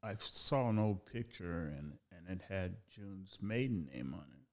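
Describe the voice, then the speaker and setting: Gravelly low-voiced older man telling stories. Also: unhurried. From Texas. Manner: relaxed, repeats "yeah" often, chuckles at his own stories. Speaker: guest artist. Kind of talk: casual storytelling interview.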